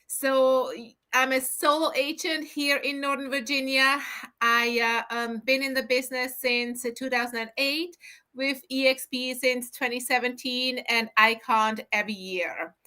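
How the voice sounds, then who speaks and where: A woman with a Swiss German accent joins the panel over a video call. Her voice sits high and sounds bright and crisp, and she speaks in a polite, composed way. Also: steady tone, measured pace. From Switzerland.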